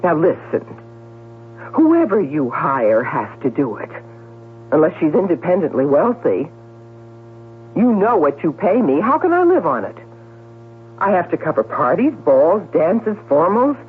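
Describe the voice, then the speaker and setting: An actress playing a vain, chatty middle-aged society columnist in a theatrical, emphatic 1970s radio drama style. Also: female voice, fairly low pitch, very animated, measured pace, pauses often. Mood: hurt, pleading. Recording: tinny, noisy vintage radio broadcast with hum.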